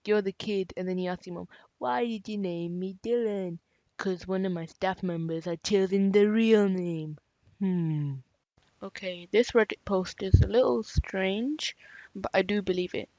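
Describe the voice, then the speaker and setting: Woman narrator, casual wry story reading, low pitch, muffled lo-fi sound, dry. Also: warm tone, no room sound. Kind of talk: reading Reddit stories aloud.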